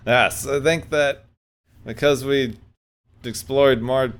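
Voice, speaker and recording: Podcast guy with a smooth clear voice, dry jokey riffs, mid pitch. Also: steady tone, slow. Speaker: podcast co-host. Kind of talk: casual podcast chat, mock game-show hosting.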